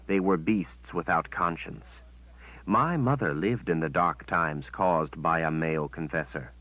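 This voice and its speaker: A man voicing a smug, menacing witch woman who speaks in a cold, measured way. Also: low voice, no echo. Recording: dull, dry sound.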